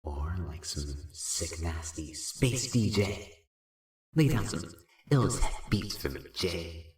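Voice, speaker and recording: Man voicing a slow, swooping, laid-back sprite. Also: deep voice, lively intonation. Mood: teasing. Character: laid-back, supportive winged sprite friend, slangy and cool. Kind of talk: amateur fan-fiction audio drama acting. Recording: roomy, echoing voice track.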